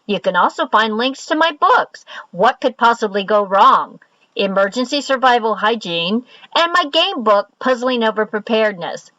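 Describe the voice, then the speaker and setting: Bright, lively woman reading a promo. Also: a little high, moderate pace, dry recording, muffled recording. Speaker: voice actor and author promoting her website. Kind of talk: scripted promo, personal invitation.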